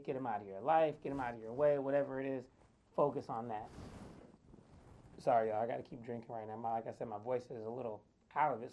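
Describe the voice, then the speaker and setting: A man with a raspy voice, tired from too little sleep, talking casually to viewers with lots of fillers. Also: mid-range voice, warm tone, muffled recording. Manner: chatty, loose, lots of fillers. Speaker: wellness vlogger. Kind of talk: casual talk to camera.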